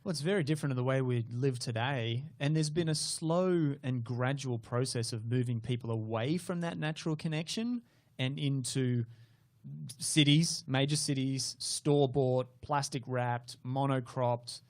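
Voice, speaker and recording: A man's voice: mid-range voice, moderate pace, no echo, studio quality. A young adult man, hosting a casual interview and explaining his views earnestly. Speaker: host.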